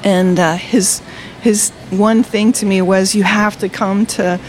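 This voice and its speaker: A woman's voice: mid-range voice, steady tone, slow, a little hiss or hum. A woman in her sixties from Delaware, a retired teacher, telling her faith story cheerfully and laughing often in a coffee shop. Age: 60s. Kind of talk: personal testimony in conversation.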